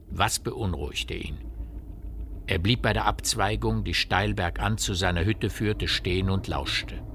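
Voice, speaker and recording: A man narrating a dark village tale in a grave, literary style for a radio drama. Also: fairly low pitch, slight background noise.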